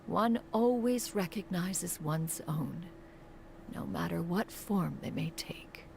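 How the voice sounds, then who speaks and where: A woman's voice: lowish voice, takes it slowly, vocal fry, darkish tone, slight background noise. A woman voicing a proud, motherly immortal crane master in a video game, speaking in stately, formal, archaic phrases. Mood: nostalgic, fond. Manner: stately, archaic, calls herself "one".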